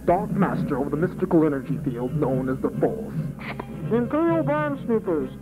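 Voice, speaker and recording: A man's voice: fairly high pitch, sing-song, moderate pace, rough recording. Man putting on a pompous space-villain announcement voice. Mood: pompous, menacing. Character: pompous dark space-villain lord in an amateur parody. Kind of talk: amateur radio drama parody. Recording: old amateur tape, loud music behind.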